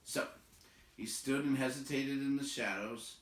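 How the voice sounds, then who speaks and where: A man reading a storybook aloud as an expressive narrator. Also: mid-range voice, unhurried, roomy sound, far from the mic, rough recording. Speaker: storybook reader.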